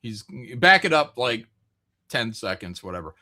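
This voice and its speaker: A man's voice: medium pitch, very animated, moderate pace, pushed and bright. A man co-hosting a livestream, reacting casually and bluntly and often asking questions. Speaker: livestream co-host.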